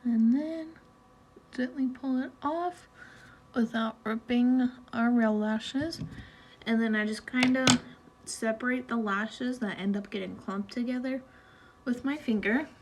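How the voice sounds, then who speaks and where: A young woman walking through a makeup tutorial in a relaxed, chatty way, with loose fillers as she goes. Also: a little high, measured pace, noisy background. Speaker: beauty vlogger. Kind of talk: casual makeup tutorial narration.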